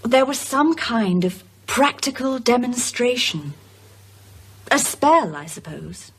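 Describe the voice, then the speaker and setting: An actress playing a composed widow who recounts a troubling past in a measured, articulate way. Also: female voice, on the high side, lively intonation, husky, heavily acted. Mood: troubled. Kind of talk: naturalistic radio drama acting.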